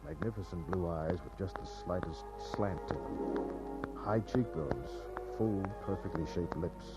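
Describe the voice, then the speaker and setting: A man's voice: deep voice, measured pace, over loud music. A man playing a cynical, laconic private detective in a 1950s-style radio crime drama. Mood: deadpan, hardboiled. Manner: laconic, deadpan, wisecracking. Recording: dull, hissy old radio recording with hum.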